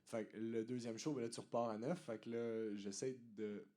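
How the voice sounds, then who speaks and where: Joking young Québécois man, mellow voice. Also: medium pitch, fairly even intonation, normal speed. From Quebec. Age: late 20s. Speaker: comedian guest. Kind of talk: casual chat.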